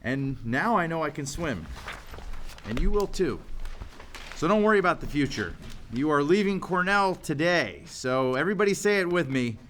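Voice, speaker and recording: A man's voice: on the high side, sing-song, moderate pace, fairly bright tone, a little hiss or hum. A man playing an earnest, self-mocking office worker giving a speech in a sitcom script reading. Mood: earnest, inspirational.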